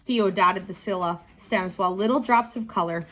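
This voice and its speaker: A woman narrating a picture book for children, expressive and deliberate, lingering on key words. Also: medium pitch, low-quality recording. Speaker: tutor reading a children's book aloud.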